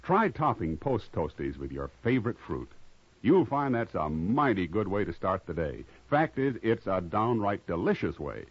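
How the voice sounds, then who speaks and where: Low, husky vintage radio pitchman, very animated. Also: moderate pace, no room sound. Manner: chatty, persuasive. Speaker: radio commercial announcer. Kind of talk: 1950s folksy radio advertisement. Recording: muffled, dry old radio recording.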